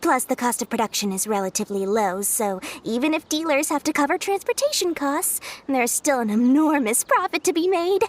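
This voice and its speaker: High-pitched, shrewd young merchant woman. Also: lively intonation, fairly quick, hardly any pauses, a little hiss or hum. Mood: salesy, upbeat. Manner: glib, theatrical salesperson patter. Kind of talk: video game voice acting.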